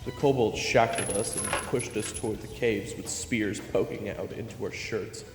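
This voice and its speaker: A man's voice: on the low side, fairly even intonation, moderate pace, lightly acted. A man playing a loyal, hot-headed swordsman who narrates a fantasy story in the first person, with dramatic emotion. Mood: grim narration. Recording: echoey, reverberant, music underneath.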